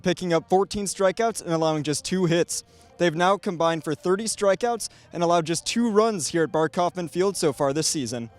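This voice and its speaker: Man in his twenties, American accent, slightly high pitch, sports reporting and commentary. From United States. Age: 20s. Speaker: student sports reporter and play-by-play announcer. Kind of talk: sports reporting, highlight reads and play-by-play commentary.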